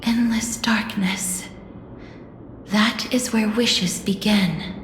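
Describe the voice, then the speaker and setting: A woman acting a solemn, mysterious oracle in a fantasy game, with a hushed, poetic delivery. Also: mid-range voice, steady tone, extremely slow. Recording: cavernous echo, music behind.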